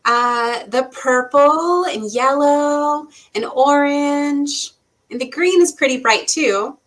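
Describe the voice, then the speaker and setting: Woman, high and bright voice, librarian sidekick for kids' read-aloud, keen and agreeable in conversation. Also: slow pace. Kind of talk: children's storytime chat.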